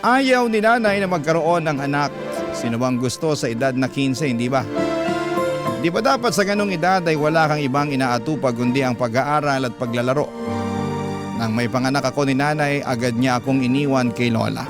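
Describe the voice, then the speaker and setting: A male host reading a listener's letter aloud in a slow, emotive, classic radio storytelling manner. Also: medium pitch. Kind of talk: dramatic storytelling narration. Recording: guitar music under the voice. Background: guitar music.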